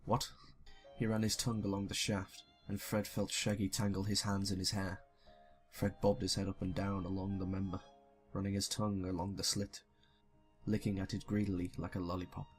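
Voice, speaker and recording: A man's voice: sits low, monotone, brisk, faint music behind. A young man reading a story aloud and talking casually as a comic YouTuber. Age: young adult. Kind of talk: scripted story narration and casual comic commentary.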